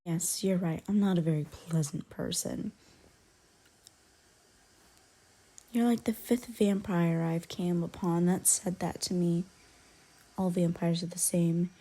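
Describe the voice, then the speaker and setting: A woman playing an aloof, grudgingly caring young werewolf in an ASMR roleplay, soft and breathy with whispered dips and blunt, guarded, halting delivery. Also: on the low side, steady tone. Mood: dismissive. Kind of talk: ASMR roleplay, intimate one-sided character dialogue.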